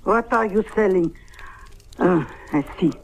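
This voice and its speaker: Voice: mid-range voice, unhurried, vocal fry, slight background noise. An actor playing a scornful, suspicious elderly village woman in an old radio drama, scolding and dismissive. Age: older.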